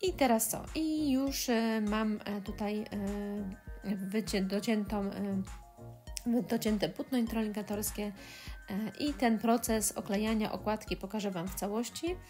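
A woman talking through a step-by-step scrapbooking tutorial, pausing often with filler sounds. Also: mid-range voice, a little mellow, faint music behind. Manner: hesitant, many filler sounds. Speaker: crafting tutorial host. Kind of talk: step-by-step craft tutorial voice-over.

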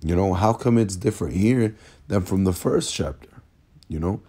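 A very deep-voiced man, hoarse and raspy from a cold, gives a casual bible lesson. He talks haltingly, stalls on repeated words and fills gaps with fillers while reading verses aloud. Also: mellow. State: hoarse from illness. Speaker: religious teacher. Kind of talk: informal bible lesson over screen recording. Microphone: phone screen recording.

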